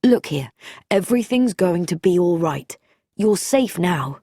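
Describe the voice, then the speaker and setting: Female reader doing earnest young guy sleuth, direct, slightly husky, mystery audiobook. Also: fairly low pitch, animated, a little slow, warm tone, no room sound, clean studio sound. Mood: reassuring. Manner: direct, reassuring, questioning. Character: earnest, protective young man turned amateur sleuth.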